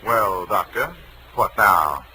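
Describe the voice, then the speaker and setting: An actor in a 1940s radio drama playing a condescending, villainous doctor who talks in a patronizing, menacing way. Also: male voice, on the low side, expressive, slow, pushed and bright, full character voice. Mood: indignant, sneering. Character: smooth-talking, condescending villainous male doctor. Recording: noisy old 1940s radio recording.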